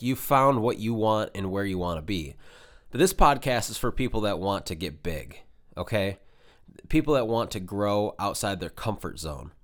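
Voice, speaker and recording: A young man hosting his own podcast, talking casually and directly to listeners while sounding nasal from a cold. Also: mid-range voice. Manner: direct, coaching, fillers like "right?" and "okay?". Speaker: podcast host. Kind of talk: casual solo podcast talk.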